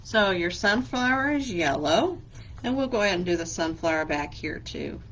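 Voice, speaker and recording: A woman's voice: lowish voice, expressive, moderate pace, a bit bright, a little hiss or hum, low-quality recording. A woman librarian leading a children's craft lesson, speaking patiently and asking simple questions as a teacher would.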